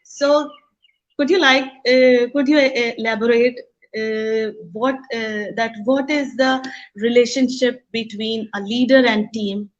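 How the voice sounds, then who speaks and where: A woman's voice: a little high, steady tone. Hesitant Pakistani woman, dull lo-fi audio. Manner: hesitant, halting phrasing. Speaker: interviewer. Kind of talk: unscripted interview questions. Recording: dull, lo-fi online call audio.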